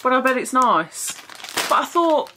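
A woman's voice: high voice, big pitch swings, measured pace, noisy background. A young woman in a small bedroom, chatting frankly and casually to camera about her haul, swearing now and then. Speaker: vlogger.

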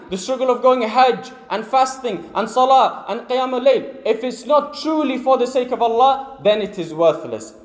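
A man delivering a Friday sermon as a preacher, retelling a story with emphatic, drawn-out invocations. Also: extremely high pitch. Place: mosque prayer hall. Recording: echoey hall with long reverb.

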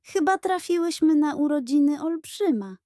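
A woman voicing a cheerful, excitable little girl in a children's audio story. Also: high voice, moderate pace, dry recording, studio quality, voice pushed up. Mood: amazed.

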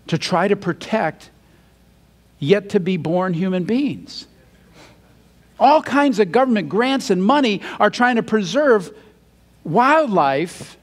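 An older man, around his sixties, preaches from the stage of a large church hall with a fairly high voice. He reads scripture aloud and builds to emphatic, indignant points as he paces. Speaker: pastor. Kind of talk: sermon.

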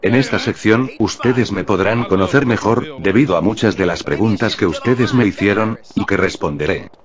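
A man in his thirties, a gaming YouTuber, calmly explaining things in voice-over narration. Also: fairly low pitch, normal speed, crowd noise. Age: 30s. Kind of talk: voice-over narration over gameplay footage. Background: background music.

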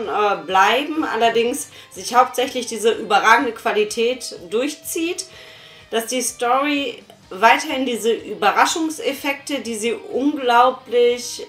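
Young woman, slightly high voice, casual reviewer chat, echoey living room. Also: a bit bright. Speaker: audio drama reviewer and interviewer. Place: small living room, on a sofa. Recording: roomy echo, music behind.

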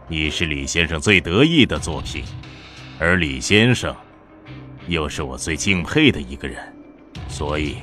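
An actor playing a huge armored evolved monster, a male villain who speaks in a measured, cold and deliberate way. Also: a bass voice, sharp tone, full character voice.

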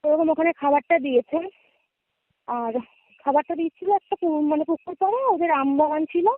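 A woman's voice: high-pitched, moderate pace, dry recording. A young adult woman with a West Bengal Bengali accent tells a personal story casually as a caller, often checking in with her listener, over a narrow phone connection. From West Bengal, India. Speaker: guest caller. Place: remote call, dry sound. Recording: narrow tinny phone-call audio.

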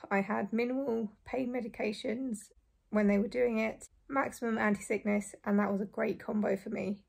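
Hoarse young woman, croaky. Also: mid-range voice, a little mellow. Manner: chatty, relieved, frequent light laughs. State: croaky, hoarse after surgery. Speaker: vlogger. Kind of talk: casual talk to camera. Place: small bedroom, indoors. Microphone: phone or camera close in front.

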